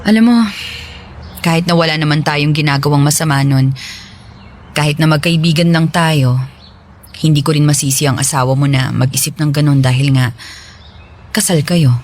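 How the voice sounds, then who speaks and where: Woman acting soft forgiving lady friend in a radio drama, casual n reassuring, some noise behind her. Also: low-pitched, fairly even intonation, moderate pace, pauses often, lightly acted. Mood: gentle, resigned. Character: gentle, forgiving female friend, once publicly shamed. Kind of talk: Filipino radio drama dialogue.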